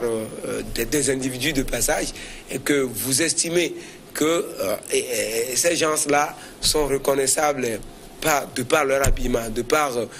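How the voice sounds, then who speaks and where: Male debate panelist, mid-pitched, halting and repetitive delivery, slight noise behind. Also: a bit bright. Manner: hesitant, halting delivery with repeated words. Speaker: panelist on a current affairs debate. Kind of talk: spontaneous political commentary in a discussion.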